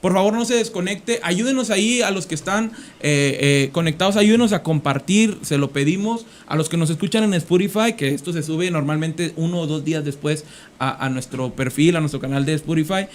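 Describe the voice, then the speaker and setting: A man's voice: a little high, fairly quick. A man with a Mexican accent hosting a religious talk podcast, repeating phrases for emphasis as he comments. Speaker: podcast host.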